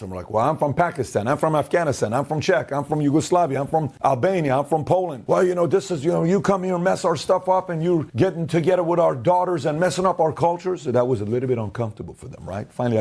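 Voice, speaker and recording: Middle-aged male host, emphatic and argumentative, mellow tone, acts out his opponents. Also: fairly high pitch, fast talker, hardly any pauses, slightly rough. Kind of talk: opinion monologue to camera.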